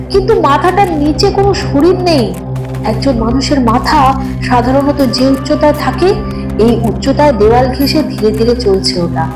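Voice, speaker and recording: High pitched lady telling a spooky story, dramatic and suspenseful, loud music behind her. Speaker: story narrator. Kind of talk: dramatic scripted horror audio story narration. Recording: roomy echo, distant, loud music bed.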